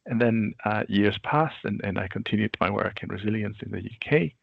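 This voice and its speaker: Man in his forties, a deep voice with heavy fry, husky, calm and reflective as a webinar guest, dull lo-fi call audio. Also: no echo. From Venezuela. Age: 40s. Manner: measured, reflective storytelling. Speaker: guest expert. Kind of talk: webinar interview answer. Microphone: video call.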